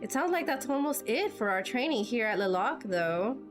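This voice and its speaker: Game role: girlish young female fighter, played by an amateur actress. High voice, perky, friendly, informal; music underneath. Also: sing-song, normal speed. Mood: chatty. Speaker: amateur voice actor playing through a game. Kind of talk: amateur game dialogue voice acting.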